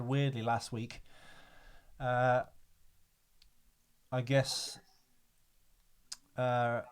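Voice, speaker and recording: A man hosting a podcast in casual chat, telling things in a rambling, wryly understated way. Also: mid-range voice. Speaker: podcast host.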